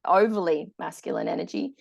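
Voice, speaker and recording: Woman, 40s, warmly enthusiastic podcast host speaking through an earphone mic on a call. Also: on the low side, very animated, fairly quick, creaky. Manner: enthusiastic, affirming, laughs often. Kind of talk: podcast interview. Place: bright room at home. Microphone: earphone mic on video call.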